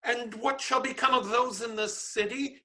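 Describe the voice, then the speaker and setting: A woman playing a worldly, grumbling brothel madam in a staged Shakespeare reading, with theatrical, drawn-out delivery. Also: on the high side, steady tone, bright, forceful tone. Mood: inquisitive.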